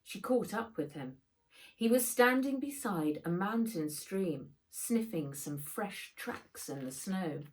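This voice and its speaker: A woman narrates a children's audiobook. She takes her time over each phrase, and her pitch moves in a lively, expressive way. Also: slow, rough recording. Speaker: author reading own book.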